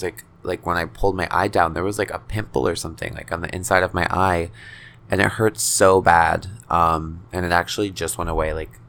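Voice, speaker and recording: A man's voice: sits low, a bit bright. A nineteen-year-old man hosting his own podcast, rambling casually with lots of swearing and self-deprecating jokes. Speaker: podcast host.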